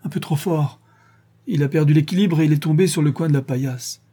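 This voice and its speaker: Frightened young servant woman, played by a male reader, pleading and husky. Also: on the high side, fairly quick, dark tone, voice pushed up. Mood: distraught. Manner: pleading, distraught, words tumbling out.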